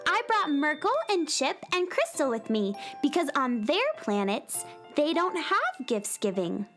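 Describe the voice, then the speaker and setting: A woman's voice: sits high, very animated, a little slow, a bit bright, faint music behind, heavily acted. A woman playing a friendly, earnest young space girl in a children's radio drama, speaking with childlike eagerness. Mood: cheerful, eager.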